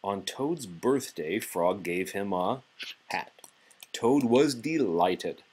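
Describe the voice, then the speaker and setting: Dad reading a kids book, low husky voice, slow but really expressive. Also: male voice. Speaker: father reading aloud to child. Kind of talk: animated children's storybook narration.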